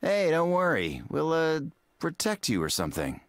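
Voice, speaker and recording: An actor playing a shady, careless lawman in an animated video game, smug and offhand. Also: fairly high pitch, normal speed, heavy background noise. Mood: offhand, dismissive.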